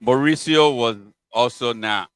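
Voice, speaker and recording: A man's voice: medium pitch, unhurried, clear, resonant tone. A man co-hosting a casual football chat, who laughs as he retells stories. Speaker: podcast co-host.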